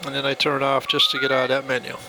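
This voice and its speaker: A man's voice: mid-range voice, monotone, rapid-fire. A man giving a casual, plainspoken step-by-step tutorial as he demonstrates a device. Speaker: product demonstrator. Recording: faint background noise.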